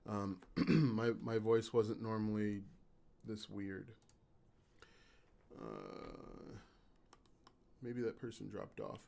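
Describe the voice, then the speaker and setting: Raspy guy doing a chill live stream, kinda low voice, lots of ums, even keel. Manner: even-keeled, low-energy, halting with fillers. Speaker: YouTube channel host. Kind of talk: casual live stream chat.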